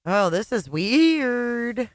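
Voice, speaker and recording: A woman giving casual reaction commentary on old interview clips, chatty with quick asides. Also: a little high, big pitch swings, no room sound, clean studio sound.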